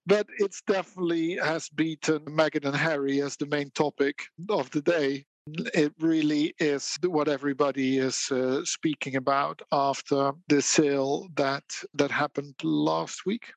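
A man with a Dutch accent weighs his words in a relaxed interview, thoughtful and even-handed, breaking into a quick laugh now and then. His voice sits a little high for a man. The sound is close and dry, with no room around it. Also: gravelly, no echo. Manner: measured and reflective, with occasional laughs. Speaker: guest, auction house specialist. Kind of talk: conversational interview.

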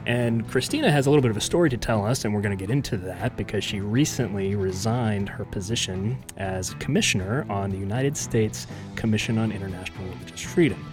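A man hosting a podcast interview, talking in a casual, hesitant way with many fillers. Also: lowish voice. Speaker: podcast host.

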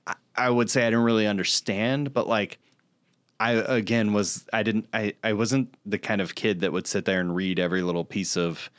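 A man's voice: on the low side, steady tone, moderate pace, a bit bright, dry recording, clean studio sound. A man co-hosting a podcast in casual chat, with a joking, self-deprecating manner. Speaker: podcast co-host.

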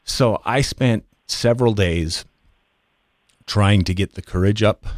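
Male podcast guest, fifties. Lower register, dry sound, thoughtful and halting personal storytelling. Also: dry recording. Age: 50s.

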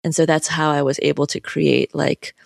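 Flat, gravelly-voiced novelist in their thirties. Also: mid-range voice, normal speed, no echo, clean studio sound. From United States. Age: 30s. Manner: thoughtful, loose, many fillers and asides. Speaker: novelist being interviewed. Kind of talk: reflective interview talk.

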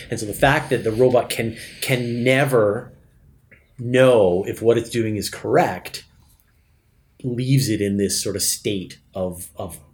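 A man hosting a casual interview, musing his way through ideas as he talks. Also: fairly low pitch. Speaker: podcast host interviewing guests. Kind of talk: casual thoughtful discussion.

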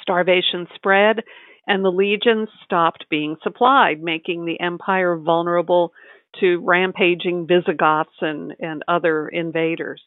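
A woman with a slightly low voice explains things as an interview guest. She is articulate and measured, and the recording is narrow and phone-like, with very little room sound. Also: steady tone, no echo. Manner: articulate, measured storytelling in full sentences. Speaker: tax advisor, interview guest. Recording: tinny phone-like line, dry.

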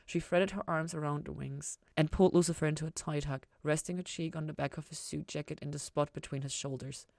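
A woman narrates scripted fiction. Her voice sits low for a woman. The sound is dry, with almost no room around it. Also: steady tone, a little fast, vocal fry, few pauses, a little mellow, no echo. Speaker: fan fiction reader, podcast host.

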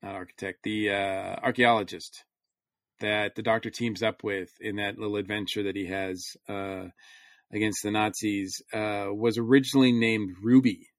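A man's voice: fairly low pitch, flat delivery, moderate pace, dry recording, clean studio sound. A man in casual, rambling conversation as a panelist on a review podcast.

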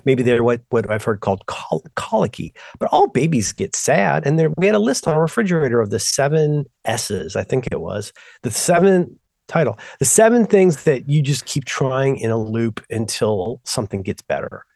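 A middle-aged man co-hosting a podcast, telling long digressive stories and breaking off to restart his sentences. His pitch swings widely as he talks. The sound is close and dry, with no room echo. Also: moderate pace, gravelly. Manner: rambling, self-interrupting, animated storytelling. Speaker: podcast co-host. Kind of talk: casual chat.

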